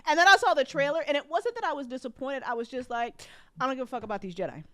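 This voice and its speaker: A woman co-hosting a casual fan chat, expressive and blunt, with plenty of swearing. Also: high-pitched, rapid-fire.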